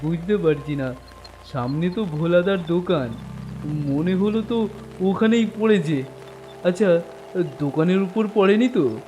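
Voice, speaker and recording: A man's voice: high voice, unhurried, pauses often, a little mellow, faint music behind, pitched above the performer's own voice. A man voicing an eager, anxious village friend in a cartoon story, chatty and deferential. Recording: rain noise behind the voice. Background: falling rain.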